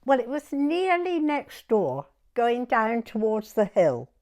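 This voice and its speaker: An elderly woman in her nineties with a southern English accent tells her memories informally. She speaks slowly and wanders, often dropping a sentence halfway and starting it another way. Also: on the high side, sing-song, darkish tone. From Dorset, England. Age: 90s. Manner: rambling, often breaking off and rephrasing mid-sentence. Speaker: oral history interviewee. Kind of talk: informal spoken reminiscence.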